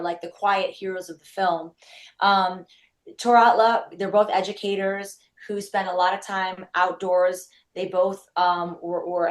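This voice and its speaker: A woman filmmaker giving conversational interview answers in an explanatory, emphatic and fluent manner. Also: medium pitch. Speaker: documentary filmmaker, interview guest.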